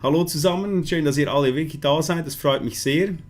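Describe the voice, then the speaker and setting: Halting Swiss German host on stream. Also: male voice, a little high. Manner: hesitant, many fillers and restarts. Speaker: livestream host. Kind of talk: bilingual live stream talk.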